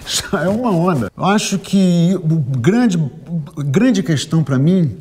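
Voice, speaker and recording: A man in his fifties from Rio with a carioca accent, telling his story in a relaxed, animated way in a studio interview. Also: a little high, slow pace. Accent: Carioca Brazilian Portuguese. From Rio de Janeiro. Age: 50s. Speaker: interviewee, bookseller. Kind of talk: reflective testimonial. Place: studio with gold curtain backdrop.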